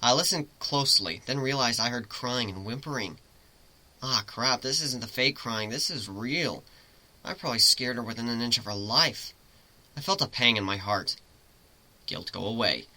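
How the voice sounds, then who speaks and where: A man's voice: mid-range voice, normal speed, bright, forceful tone. A man giving a dramatic first-person reading of a fantasy story as its narrator. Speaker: story reader.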